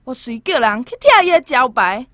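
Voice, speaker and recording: A hot-tempered mother for a radio drama. She scolds in a high, agitated voice. The sound is dry and close, with no room echo. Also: big pitch swings, moderate pace, fairly bright tone. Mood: outraged. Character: indignant mother, hot-tempered lady of the house. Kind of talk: Taiwanese radio drama acting.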